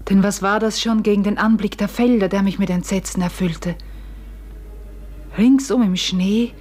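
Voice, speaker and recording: An actress playing a farmer's wife who recounts an uncanny event with wonder, in measured, literary radio play narration. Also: female voice, medium pitch, darkish tone, slight background noise, close to an everyday voice. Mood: awed, hushed wonder. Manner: measured, literary, deliberate delivery.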